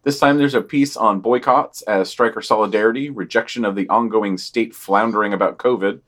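A man's voice: fairly low pitch, a little fast, a bit bright. A man reading news summaries for a podcast, with dry, offhand comments on each item.

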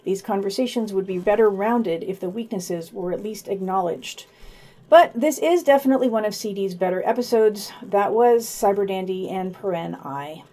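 A woman's voice: mid-range voice, normal speed, mellow. A woman co-hosting a podcast, reading scripted summaries and reviews with her own commentary.

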